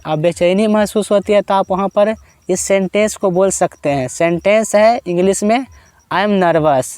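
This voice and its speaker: An Indian man with a high, smooth, clear voice gives a basic spoken-English lesson, explaining in Hindi. He stretches each example sentence out slowly and says it twice so learners can repeat it. The sound is dry and close. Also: a little mellow, no echo. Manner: slow, drawn-out model sentences repeated twice for practice. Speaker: English teacher.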